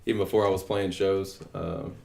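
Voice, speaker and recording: Deep-voiced young Texan man, modest. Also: normal speed, vocal fry. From Texas. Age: 20s. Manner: relaxed and modest, many fillers, laughs easily. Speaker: guest musician. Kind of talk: casual interview chat.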